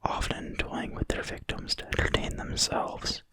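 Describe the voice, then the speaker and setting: A person reading in a quiet, breathy whisper, soft and deliberate, as in an ASMR reading of a fantasy book. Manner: slow, soft, unhurried, deliberate. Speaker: ASMR video creator and reader.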